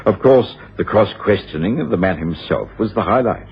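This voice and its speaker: An actor playing a thoughtful detective's companion, narrating a scripted radio drama dramatically. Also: male voice, lowish voice, animated, normal speed, gravelly, a little hiss or hum. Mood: dramatic storytelling. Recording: dull old radio broadcast.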